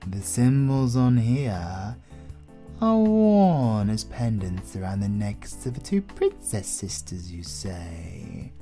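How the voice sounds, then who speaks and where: A British man playing an ancient, suave vampire lord in an intimate horror audio roleplay, menacing and flirtatious, with deliberate, teasing delivery that often drops to a whisper. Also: on the low side, very animated, mellow, soft background music, exaggerated voice. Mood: cool, probing.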